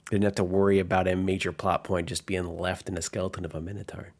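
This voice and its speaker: A low male voice with a strong creak, talking casually as a game master. He narrates and reflects on his tabletop campaign in an informal, unpolished, thinking-aloud style. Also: level tone, quick pace, darkish tone. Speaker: game master giving commentary.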